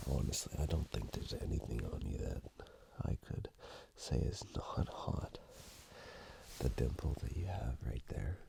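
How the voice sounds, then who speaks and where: A man in a soft, unhurried, breathy ASMR roleplay, half-whispering close to the microphone as a flirtatious, playful romantic partner. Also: extremely low pitch. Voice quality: breathy, gravelly.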